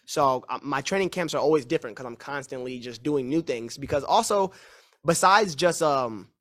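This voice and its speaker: A man in his twenties, a fighter answering press questions on a call, confident and repeating himself in fast run-on answers. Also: medium pitch, lively intonation, a bit bright. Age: 20s. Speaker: mixed martial arts fighter.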